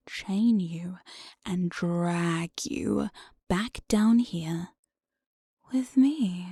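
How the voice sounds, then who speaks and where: Woman playing a breathy whispering demon mistress. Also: fairly low pitch, a little mellow, no echo, clean studio sound, exaggerated voice. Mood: menacing, teasing. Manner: slow, coaxing, mock-sweet and commanding. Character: teasing, domineering demon mistress of a dungeon jail. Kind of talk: whispered ASMR roleplay. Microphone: close binaural studio microphone.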